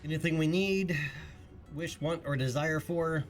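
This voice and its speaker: A man's voice: a little high, unhurried, faint music behind. A man streaming a game, thinking aloud in casual chat while congested from a recent cold. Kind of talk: casual gameplay commentary.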